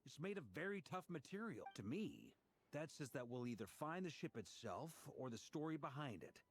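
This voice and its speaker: A man's voice: on the high side, very animated, moderate pace, husky, exaggerated voice. A man voicing a jovial, playful knight grandmaster in a video game, breezy and encouraging. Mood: reassuring. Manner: breezy, good-humoured.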